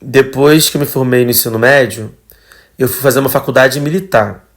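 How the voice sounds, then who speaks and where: A man in his thirties with a Carioca accent talks about his own life in a casual, unhurried way. He often trails off and restarts his sentences. His voice sits at an ordinary middle pitch. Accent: Carioca Portuguese. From Rio de Janeiro, Brazil. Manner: relaxed, conversational, with fillers and trailing phrases. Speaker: podcast guest, traveler. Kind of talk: casual personal storytelling.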